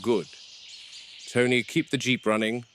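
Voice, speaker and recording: A man playing a calm, commanding veteran game warden in found-footage horror, speaking in measured, terse, authoritative phrases. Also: mid-range voice, slight background noise, close to an everyday voice. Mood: measured, explanatory. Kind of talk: found-footage horror film acting and log narration. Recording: VHS-style found footage, music underneath.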